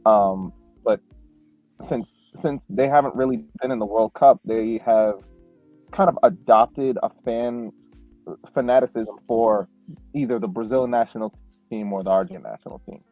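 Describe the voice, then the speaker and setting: A male podcast co-host telling stories in a relaxed, reflective way, with many fillers and restarts. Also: medium pitch, moderate pace, no echo, soft background music. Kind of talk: casual podcast chat. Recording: tinny, phone-like dry sound.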